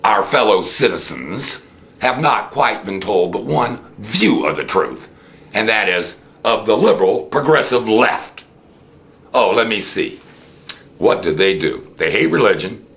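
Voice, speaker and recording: American guy ranting politics off the cuff, really deep creaky voice, theatrical delivery. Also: fairly bright tone. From United States. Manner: theatrical, rhetorical questions with drawn-out emphasis. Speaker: host of a political commentary show. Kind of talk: unscripted political monologue and storytelling.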